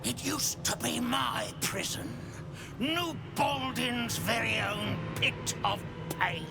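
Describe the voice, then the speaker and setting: A man's voice: extremely high pitch, big pitch swings, slow, creaky, exaggerated voice. A man voicing a devilish former torturer turned courteous gatekeeper in a video game, with genteel, theatrical, drawling speech. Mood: wistful menace. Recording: loud game music behind.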